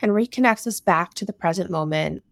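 A woman leading a guided meditation, giving soothing instructions in short phrases with long pauses between them. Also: lowish voice, vocal fry, no echo, studio quality. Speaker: meditation guide, breathwork teacher.

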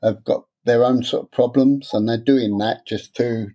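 A man with a stammer speaks softly in a personal interview. His voice is mellow and dark, and he pauses and repeats words. He sits at an even, middling pitch. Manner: halting, repeats words, soft-spoken, candid.

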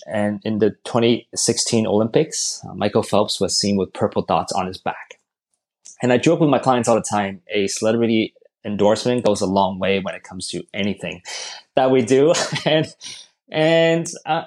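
Low-voiced man from Hong Kong. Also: a little mellow. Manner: thoughtful, restarts words, light laughs. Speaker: strength and conditioning coach. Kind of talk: podcast interview answers.

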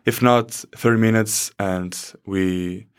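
Young man, low voice, halting speech, student interviewee, campus studio. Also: steady tone, clean tone. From Portugal. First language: Portuguese. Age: young adult. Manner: halting, restarts phrases, laughs easily. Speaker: student soccer player. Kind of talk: conversational interview answers.

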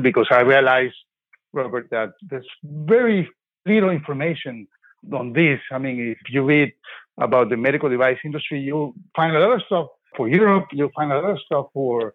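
A man originally from Colombia talks through his business at an easy, explanatory pace. His voice comes over a thin, phone-like line with a dry, close sound and no room to it. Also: dry recording. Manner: explanatory, pitching his company. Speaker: podcast host. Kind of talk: conversational interview.

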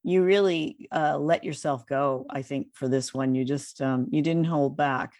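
A woman's voice: low voice, measured pace, a little mellow. A woman hosting a podcast, interviewing a guest in a conversational style.

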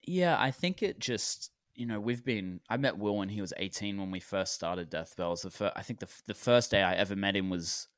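A man in his twenties from Australia, a musician talking casually in an interview, relaxed and rambling with lots of fillers. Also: lowish voice, normal speed, hardly any pauses, no room sound, studio quality. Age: 20s. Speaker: musician guest.

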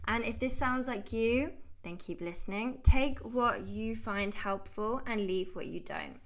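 A woman's voice: fairly high pitch, unhurried, vocal fry. A woman in her thirties, a health coach hosting her podcast in a chatty, upbeat way. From United Kingdom. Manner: chatty and upbeat, laughs easily. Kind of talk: casual podcast monologue.

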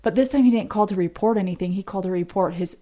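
A woman's voice: mid-range voice, very fast, warm tone. An American woman co-hosting a podcast, telling a true-crime case in a conversational way. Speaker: podcast co-host.

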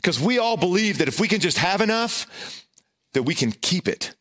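A middle-aged man preaches with a loud voice, moving from serious points into a humorous story at his own expense. His speaking voice sits a little higher than most men's and swings up and down as he tells it. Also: big pitch swings, normal speed. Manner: self-deprecating, humorous storytelling with big pitch swings. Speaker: pastor. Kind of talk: sermon with personal story.

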